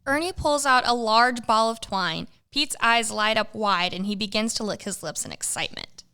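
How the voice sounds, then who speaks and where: Bright, smooth woman narrator. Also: on the high side, animated, moderate pace. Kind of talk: scripted comic narration.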